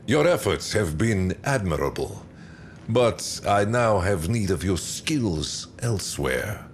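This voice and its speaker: An actor playing a stern, commanding male field marshal who gives curt, measured orders in a game cutscene. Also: low voice, sing-song, slight background noise, heavily acted. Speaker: military commander giving orders. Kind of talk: video game cutscene acting.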